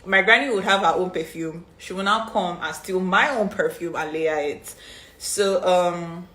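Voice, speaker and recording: Chatty young Nigerian woman, low voice. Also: a little slow, fairly bright tone. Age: 20s. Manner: reads fan questions aloud then answers chattily with many ums. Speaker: reality show contestant answering fan questions. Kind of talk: casual live-stream Q&A. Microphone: phone on a live stream.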